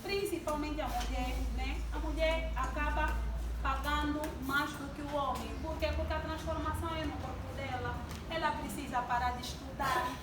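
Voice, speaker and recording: Young woman in her twenties, high voice, preaching to a congregation and calling for responses; recorded from a distance with room noise. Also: steady tone, moderate pace. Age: 20s. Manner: asks the audience questions and draws responses. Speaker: church youth speaker. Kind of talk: sermon-style talk to a congregation. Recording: distant mic in an echoey hall with background noise.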